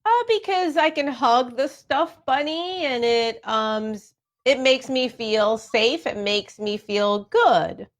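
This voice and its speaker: A woman's voice: on the high side, takes it slowly. A woman hosting a radio interview show.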